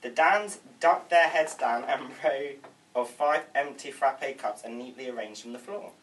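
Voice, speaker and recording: Bright-voiced man reading stage directions. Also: medium pitch, a little slow, recorded from a distance. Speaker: stage directions reader at a table read. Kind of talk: reading script directions aloud. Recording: noisy room, away from the mic.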